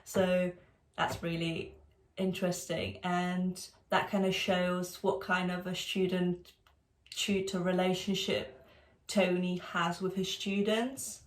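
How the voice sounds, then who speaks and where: A woman's voice: fairly low pitch, level tone, takes it slowly. A young woman whose first language is Polish, a student co-host talking in an earnest, thoughtful way. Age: young adult. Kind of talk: casual chat. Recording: echoey room.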